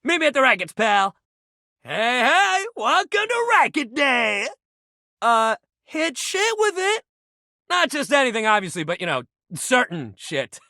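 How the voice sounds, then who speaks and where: Casting: laid-back young jock coach, a living shuttlecock in a video game. Very lively, swooping intonation, slangy and encouraging, dry close recording. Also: male voice, extremely high pitch, measured pace, vocal fry, dry recording, full character voice. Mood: playful, hyped. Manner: slangy, bro-ish, encouraging, swearing casually. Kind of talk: video game character voice acting.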